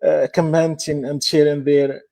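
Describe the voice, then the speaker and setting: Guy hosting the q and a, reads out questions politely, kinda high mellow voice. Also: little pitch movement, vocal fry. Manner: polite, measured, with fillers. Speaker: session moderator. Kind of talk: reading out audience questions.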